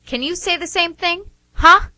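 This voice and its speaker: An actress playing a defiant, indignant sister in a radio drama, protesting sharply. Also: female voice, very high-pitched, moderate pace, pushed and bright, no room sound, exaggerated voice. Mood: indignant, proud. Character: defiant, indignant younger woman, bullied sister. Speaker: actress in a radio play, also reading stage directions. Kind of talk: amateur radio drama acting.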